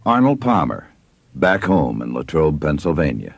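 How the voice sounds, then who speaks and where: A man's voice: extremely low pitch, very animated, normal speed, gravelly, breathy edge, dry recording. A man narrating a scripted commercial as an announcer.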